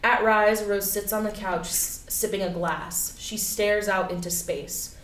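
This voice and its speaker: A woman's voice: mid-range voice, steady tone, unhurried. A woman giving scripted narration of stage directions at a play reading. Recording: distant mic, echoey room, clear noise.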